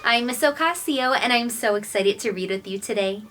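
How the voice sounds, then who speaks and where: A woman's voice: a little high, normal speed, a bit bright, slight background noise. A woman hosting a children's read-aloud, speaking expressively and directly to young listeners.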